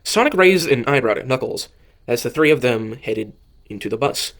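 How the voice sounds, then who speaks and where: A man reading a scripted story as narrator, haltingly, often stumbling and rereading phrases. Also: mid-range voice, animated, a bit bright. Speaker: narrator of an amateur audio drama.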